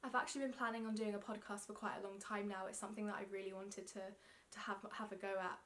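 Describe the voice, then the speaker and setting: A woman's voice: medium pitch, flat delivery, fast talker, fairly bright tone. Young london woman vlogging about knitting, chatty and a bit awkward, lots of ums, indoors to camera. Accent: London English. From England. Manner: chatty, self-conscious, frequent ums. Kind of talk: casual self-interview to camera. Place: indoor room with yarn shelves.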